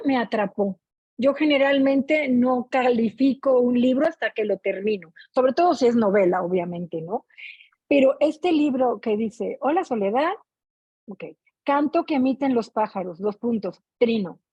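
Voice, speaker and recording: Mexican lady chatting expressively at a book club, raspy high-ish voice, dull online audio. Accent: Mexican Spanish. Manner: chatty, expressive, often seeking agreement with tag questions. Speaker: reading club participant. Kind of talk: casual spoken book commentary. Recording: muffled, echoey online meeting audio.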